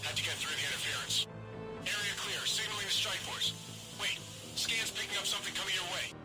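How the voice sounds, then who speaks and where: A man's voice: high voice, a little fast. A man playing an alert, dutiful shuttle pilot in a video game, giving clipped military reports over radio. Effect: radio transmission. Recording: radio link under loud music.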